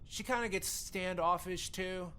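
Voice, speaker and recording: A man playing an awkward, cocky slacker teenage boy in a game, with a halting, casual, bro-like manner. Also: sits high, bright, forceful tone, slight background noise. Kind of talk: scripted visual novel dialogue.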